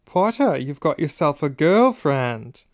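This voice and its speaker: A man's voice: medium pitch, moderate pace, dry recording, natural voice. A man voicing a snobbish, taunting schoolboy bully in a storybook, with a sneering drawl.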